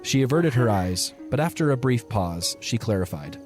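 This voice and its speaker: A man's voice: mid-range voice, very animated, normal speed, a little mellow, faint music behind. A man reading scripted story narration for an audio drama.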